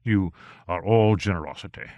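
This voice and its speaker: A man's voice: deep voice, normal speed, a little mellow, no echo, natural voice. A man voicing a deferential, anxious servant in a storybook, polite, subdued and hesitant. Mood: grateful.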